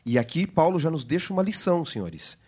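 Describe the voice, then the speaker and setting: A man's voice: a little high, sing-song, fast talker, darkish tone, husky. A man with a Brazilian Portuguese accent, a pastor co-hosting a Bible lesson program, explaining scripture in a teacherly way. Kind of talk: Bible study discussion.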